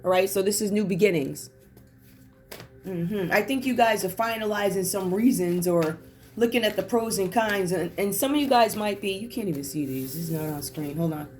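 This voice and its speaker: A hoarse woman doing a casual tarot reading, chatty and reflective with many fillers. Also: mid-range voice, moderate pace, a little mellow, faint music behind. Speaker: tarot reader.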